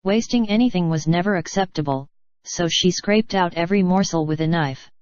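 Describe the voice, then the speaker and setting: A woman's voice: fairly low pitch, a little fast, darkish tone, dry recording, lo-fi sound. A synthetic text-to-speech woman's voice reading an audiobook story in a stilted, odd cadence. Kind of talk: scripted audiobook narration.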